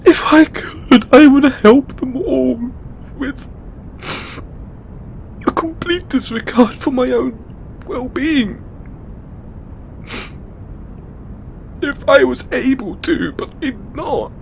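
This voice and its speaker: An actor playing a distraught, overburdened god in an emotional audio roleplay, a man speaking tearfully and haltingly with exasperated swearing. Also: very high-pitched, expressive, creaky, slight background noise, full character voice, rough recording. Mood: tearful, wounded. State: crying. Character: distraught, overburdened male god venting to his devotee.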